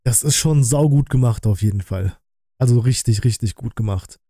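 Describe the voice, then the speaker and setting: Dark, mellow-voiced male streamer. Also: medium pitch, moderate pace, dry recording, clean studio sound. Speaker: streamer hosting a reaction stream. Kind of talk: casual livestream chat. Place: small indoor home streaming room. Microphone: close desk microphone on an arm.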